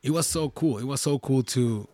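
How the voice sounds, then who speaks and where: A young man talks casually on his own podcast, rambling, restarting sentences and dropping slang. His voice sits at an ordinary middle pitch. The sound is dry and close, with no room echo. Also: normal speed, dark tone. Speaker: podcast host. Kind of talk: casual solo podcast talk.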